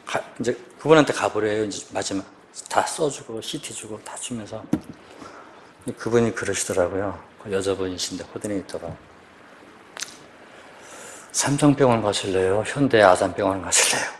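A man in his fifties, a police officer, giving a quiet, halting personal testimony in church and asking for prayer. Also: lowish voice, a little hiss or hum. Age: 50s. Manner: quiet, halting, searching for words.

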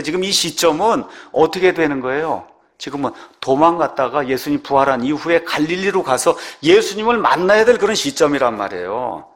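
A man preaching an expository sermon, didactic and emphatic, often putting rhetorical questions to his listeners. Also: medium pitch. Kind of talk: expository sermon, retelling scripture verse by verse.

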